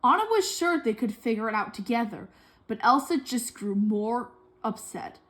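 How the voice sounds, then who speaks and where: A woman's voice: a little high, moderate pace. A woman reading a children's story aloud expressively, acting out the characters' dialogue as she goes. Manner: animated, acts out dialogue. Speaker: storybook reader.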